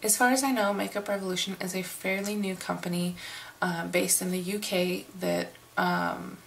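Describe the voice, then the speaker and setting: A middle-aged woman from the United States giving a casual makeup review in an unhurried, chatty way, hoarse and sniffling from a cold. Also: lowish voice, steady tone, slight background noise. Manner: unhurried, chatty, many fillers. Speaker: beauty vlogger.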